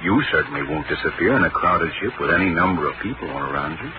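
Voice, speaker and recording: An actor playing a brisk, reassuring young husband who teases his wife, in 1940s radio acting. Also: deep voice, expressive. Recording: hissy, muffled old radio broadcast.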